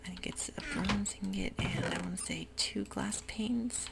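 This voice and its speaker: A woman whispering soft, hushed ASMR narration over gameplay at home, with frequent ums, as keyboard clicks sound behind her. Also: medium pitch, moderate pace, creaky. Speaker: ASMR gaming creator.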